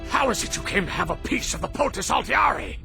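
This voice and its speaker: A man's voice: extremely high pitch, expressive, fast, vocal fry, sharp tone, heavily acted. An actor playing an arrogant ancient male alien scientist who lectures in a condescending way, in a video game cutscene. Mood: haughty. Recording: game cutscene with music score.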